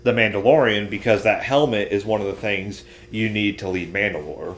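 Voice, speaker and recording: A man's voice: lowish voice, normal speed, a little hiss or hum. A man talking casually as a podcast co-host, chatting about entertainment. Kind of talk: casual chat about games and shows. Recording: roomy, echoey room.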